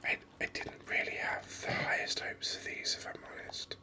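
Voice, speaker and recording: A gaming host whispering ASMR commentary very close to the microphone in a rambling, hesitant way. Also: moderate pace. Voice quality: breathy unvoiced whisper. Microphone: microphone very close to the mouth. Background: voice-over on game screen capture.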